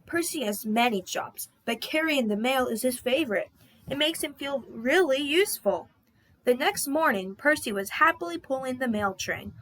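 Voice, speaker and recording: A woman reading a children's storybook aloud as an expressive narrator. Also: high-pitched, moderate pace, poor audio. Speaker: storybook reader.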